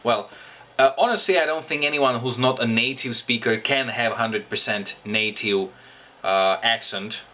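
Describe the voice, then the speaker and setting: A man's voice: medium pitch, a little slow. A young man with a Slovak accent, a singer and English teacher, giving casual advice to camera from a small room at home, talking with his hands and rambling. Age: young adult. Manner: animated, gestures with hands, rambling asides. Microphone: webcam or camera at desk distance.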